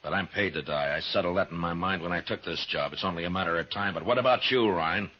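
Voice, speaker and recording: A man's voice: deep voice, fast, husky. An American man acting a stern, fearless frontier marshal in a 1950s radio western, speaking in a terse, firm way. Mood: grimly resolute. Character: stern, steady, fearless Old West US marshal. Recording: muffled old radio recording with music.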